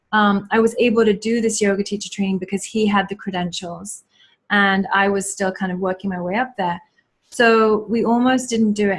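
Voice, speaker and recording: Earnest reflective woman telling her story. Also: mid-range voice. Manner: earnest, reflective, spiritual, many fillers. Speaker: guest, yoga teacher and school founder. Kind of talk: casual interview storytelling.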